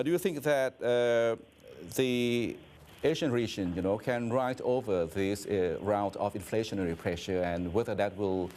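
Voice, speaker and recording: A man working as an interviewer, putting roundabout questions in a halting, conversational way. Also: lowish voice, a little mellow, slight background noise. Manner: halting, roundabout questions peppered with "you know".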